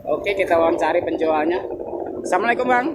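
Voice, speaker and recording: A chatty man with a high, bright voice, interviewing a vendor at an outdoor market. He keeps tagging his questions for agreement. Traffic and street noise run clearly behind him. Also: lively intonation, quick pace. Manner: chatty, repeats tag questions. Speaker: YouTube street reporter.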